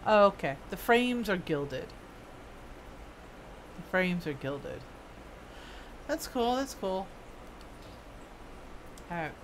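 Female game streamer, lowish voice, casual and musing, as if thinking aloud; noisy game ambience behind. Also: expressive, takes it slowly, pauses often. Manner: musing, half talking to herself. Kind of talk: casual live gameplay commentary.

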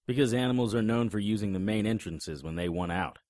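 An actor playing a wisecracking, unflappable paranormal investigator in an audio drama, with a dry, sarcastic delivery. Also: male voice, lowish voice, brisk, darkish tone, no room sound, lightly acted. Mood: dry sarcasm. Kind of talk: audio drama dialogue and first-person noir narration.

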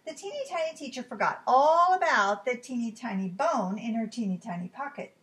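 A middle-aged woman with a fairly high voice reads to children as a teacher would. Her delivery is expressive and deliberate, with clear emphasis on repeated phrases. She is recorded at home in a living room. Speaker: teacher reading a storytime book. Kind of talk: read-aloud children's storytime.

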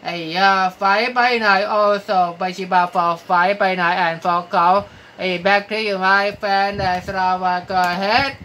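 A man's voice: high voice, steady tone, extremely slow, bright, forceful tone. A middle-aged man with a Thai accent making ham radio contact calls, spelling out callsigns in phonetic alphabet. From Thailand. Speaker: amateur radio operator. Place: small cluttered indoor radio room. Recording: very noisy shack recording, radio hiss. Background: radio static and noise.

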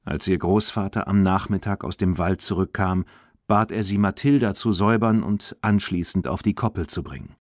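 Deep-voiced man reading a children's story. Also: normal speed, no echo, studio quality. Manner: expressive storytelling. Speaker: audiobook narrator. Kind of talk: scripted children's audiobook narration.

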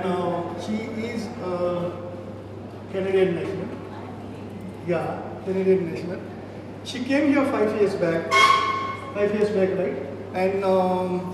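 A middle-aged man hosting at a festival. He introduces the panel in a halting way with many fillers, speaking into a handheld mic. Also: high voice. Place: large echoing festival hall. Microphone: handheld mic, standing. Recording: echoey hall, distant, background noise.

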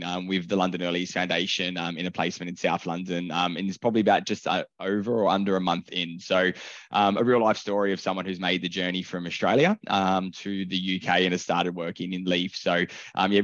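A man hosting a recruitment webinar over a video call, in a relaxed way with many filler ums. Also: low voice, no echo, muffled recording. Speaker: webinar host for a recruitment firm. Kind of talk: casual webinar presenting.

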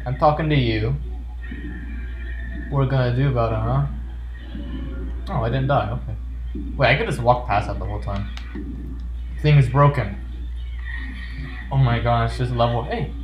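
A man's voice: mid-range voice, a little slow, pauses often, muffled recording. Playful man commentating in an echoey room. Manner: playful, jokey, talking to game characters. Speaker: gaming video host. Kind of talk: casual gameplay commentary. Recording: roomy echo with game music behind. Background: video game music.

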